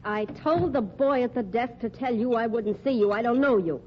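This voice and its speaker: An actress playing a tough, guarded nightclub singer, with an American accent and curt, slangy, defiant speech in old-time radio drama style. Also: female voice, on the high side, moderate pace, close to an everyday voice. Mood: hostile. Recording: dull, noisy old radio recording.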